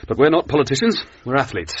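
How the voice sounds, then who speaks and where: An actor playing an earnest, polite 1930s British race walker, articulate and understated, in a radio drama. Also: male voice, mid-range voice, big pitch swings, fast, natural voice. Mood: concerned. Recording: dull radio recording, faint background noise.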